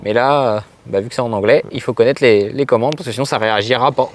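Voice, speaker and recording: A man's voice: mid-range voice, fast. A man hosting a casual gear demo, talking straight to his viewers. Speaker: motovlog host testing gear. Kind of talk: casual product demo to camera.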